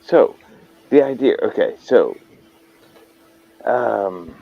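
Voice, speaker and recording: Slow, rambling man on a dull mic. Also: on the low side, animated, vocal fry. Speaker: host. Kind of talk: casual livestream chat.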